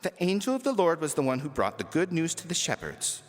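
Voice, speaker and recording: A man's voice: on the high side, huge pitch swings, fairly quick, pitched above the performer's own voice. A man voicing a firm, exasperated woman who directs a children's pageant, explaining patiently but turning no-nonsense. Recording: echoey hall reverb with music.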